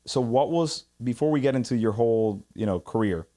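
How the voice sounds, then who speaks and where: A man's voice: mid-range voice, a little fast, mellow. Joking, swearing podcast host, a man. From Puerto Rico. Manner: joking, curses freely, stammers and restarts. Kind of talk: casual interview chat. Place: podcast studio. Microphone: studio mic.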